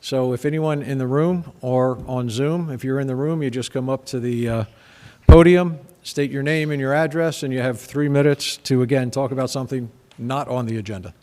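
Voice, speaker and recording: A man's voice: medium pitch, normal speed. A man serving as mayor, chairing a council meeting with a formal, direct manner. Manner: formal when reading, then relaxed and direct. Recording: reverberant meeting room.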